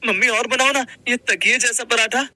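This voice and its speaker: A man voicing an excited, delighted little boy in a Hindi moral-story cartoon. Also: very high-pitched, moderate pace, no echo, exaggerated voice.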